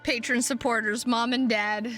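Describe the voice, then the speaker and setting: A tired woman chatting casually to her livestream viewers in a halting, rambling way with drawn-out words. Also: a little high, steady tone, a bit bright, faint music behind. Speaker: streamer.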